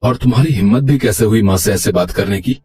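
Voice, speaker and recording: A man's voice: lowish voice, quick pace, warm tone, close to an everyday voice. A man voicing an angry, reproachful young husband in a children's moral story. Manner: stern, reproachful. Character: angry young husband defending his mother.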